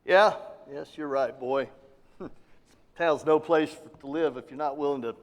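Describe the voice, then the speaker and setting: A man's voice: a little high, unhurried, lightly acted. A middle-aged man playing a kindly, worn-out father in a live stage radio play, speaking in an earnest, fatherly way. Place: theater stage, live audience. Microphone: standing stage mic. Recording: long hall reverb of a theater.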